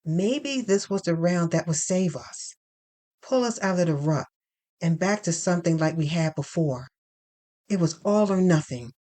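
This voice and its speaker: A woman narrates a scripted drama in the first person. She takes her time over each phrase, deliberate and expressive. Her voice sits a touch low but moves widely in pitch as she tells the story.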